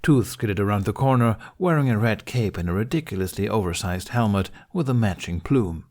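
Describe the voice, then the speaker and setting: A man's voice: fairly low pitch, animated, brisk, a little mellow. A man reading a scripted story aloud as its narrator. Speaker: fan fiction podcast narrator.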